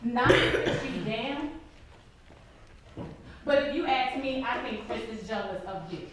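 Sassy protective young woman played by an actress, stage drama, high-pitched, frank, far-off noisy recording. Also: expressive, a little slow, very echoey. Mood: concerned, doubtful. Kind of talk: stage play acting. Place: indoor theatre stage. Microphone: far camera.

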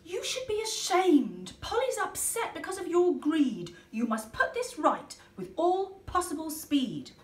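A woman voicing a proper swan scolding greedy ducks in a measured tone. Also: very high-pitched, very animated, pitched above the performer's own voice, exaggerated voice. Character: stern, proper female swan. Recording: roomy, distant mic.

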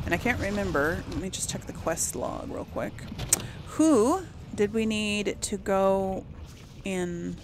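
A woman's voice: medium pitch, slight background noise. A woman hosting a casual let's-play, reading lore entries aloud and thinking aloud with many ums.

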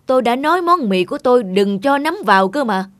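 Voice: mid-range voice, animated, a little fast, no echo, natural voice. An actor playing a grumpy, fault-finding old man in a cartoon, with a blunt, complaining delivery. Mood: irritated. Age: older. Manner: blunt, dismissive. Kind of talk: animated cartoon dubbing. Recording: loud music under the voice.

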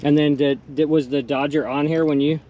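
A man's voice: a little high, steady tone, a little fast, dark tone, muffled recording. A man chatting casually and enthusiastically as he hosts a tour aboard a sailboat, asking questions and approving. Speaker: host. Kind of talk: casual interview chat on a boat tour. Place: sailboat, on open deck and in the wooden cabin. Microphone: off camera, behind a handheld camera.

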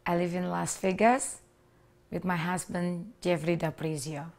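A woman's voice: fairly low pitch, level tone, measured pace, vocal fry, a little mellow. A woman in her thirties from Indonesia with an Indonesian accent, earnestly telling her personal story with small grammar slips, seated in a living room on a lapel mic. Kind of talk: personal testimony to camera. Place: living room with leather sofa, indoors.

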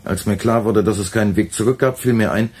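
Dry, weary intellectual, radio drama, fairly low and markedly breathy-rough. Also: male voice, fairly quick, darkish tone. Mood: uneasy recounting. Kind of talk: German radio play acting.

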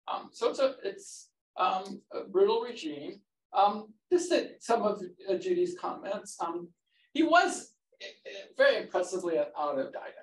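A man answering questions about his book at a roundtable, halting and full of fillers and restarts, in a conference room before an audience. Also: sits high. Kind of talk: academic discussion. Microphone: far room mic. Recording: echoey room, distant mic, faint noise.

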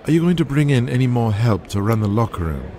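A shrewd, folksy wrestling business adviser, played by a man in an audio drama. His voice moves through a lively pitch range at a middling height. He talks persuasively, slipping in the odd hesitation, over faint background noise. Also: fast.

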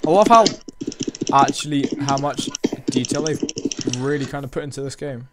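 A man's voice: mid-range voice, normal speed, heavy background noise. A young man chatting casually over a game, dry and teasing, sometimes exasperated with his partner. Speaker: gamer. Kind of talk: casual gameplay chat. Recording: keyboard clicks clearly heard behind.

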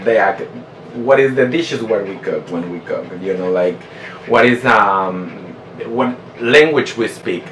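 A man in his thirties, a native Spanish speaker with a Mexican accent, chatting casually as a vlogger. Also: mid-range voice. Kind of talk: casual chat to camera. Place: small bedroom indoors. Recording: roomy echo, distant mic, faint noise.

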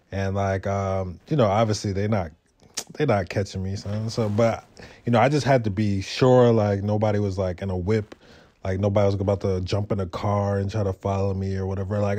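Low, mellow New man. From Brooklyn, New York. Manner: laid-back, slangy street talk. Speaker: motovlogger. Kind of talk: casual storytelling vlog.

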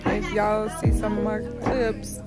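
A woman's voice: mid-range voice, completely flat delivery, measured pace. A young American woman chatting casually and emphatically to her vlog audience, repeating herself, into a phone held at arm's length. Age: young adult. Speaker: family vlogger. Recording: loud music under the voice.

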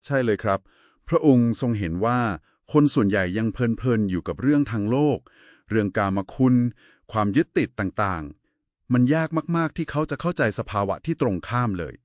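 A man's voice: medium pitch, expressive, moderate pace, darkish tone, no room sound, studio quality. A man giving a polite, didactic explanation in a conversational discussion of Buddhist scripture. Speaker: explainer in a two-person discussion.

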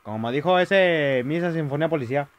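A young man with a Chilean accent commentating a horror game, yelling at jump scares and rambling between them. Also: fairly high pitch, fast talker, muffled recording. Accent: Chilean Spanish. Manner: jumpy, shouting at scares, rambling asides. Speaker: gaming youtuber. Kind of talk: let's play commentary.

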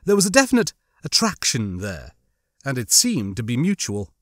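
A man narrating a suspense novel as an audiobook, with measured, dramatic storytelling. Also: mid-range voice, huge pitch swings, fairly bright tone, dry recording, studio quality. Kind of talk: scripted dramatic fiction narration.

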